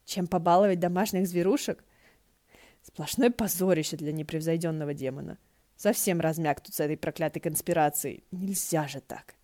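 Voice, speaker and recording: Женщина выразительно читает художественную прозу как рассказчица аудиокниги. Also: female voice, low-pitched, normal speed, warm tone. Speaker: audiobook narrator. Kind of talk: scripted fiction narration.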